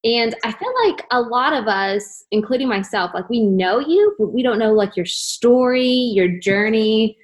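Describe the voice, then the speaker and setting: A woman's voice: on the high side, measured pace, few pauses. Effusive woman hosting a casual interview. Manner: informal, effusive. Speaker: podcast host.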